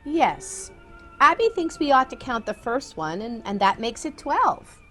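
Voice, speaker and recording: A woman's voice: a little high, big pitch swings, a little slow, fairly bright tone, faint music behind. A woman playing a dotty, kindly elderly aunt in a theatrical radio comedy, genteel, doting and placidly matter-of-fact. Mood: mildly puzzled. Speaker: actor.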